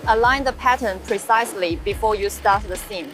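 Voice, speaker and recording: A woman's voice: medium pitch, moderate pace, very noisy, lightly acted. A woman playing an approving, matter-of-fact factory quality inspector in scripted documentary dialogue.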